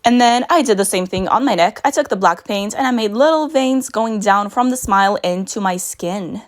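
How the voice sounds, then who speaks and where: A young woman with a raspy voice, narrating a makeup tutorial in a chatty voice-over with storytelling asides. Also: medium pitch. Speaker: makeup vlogger.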